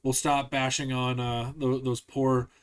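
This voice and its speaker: A man hosting a casual interview, chatting in an animated, opinionated way and poking fun at himself. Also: medium pitch, unhurried, clean tone. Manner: animated, opinionated, self-deprecating. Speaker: podcast host.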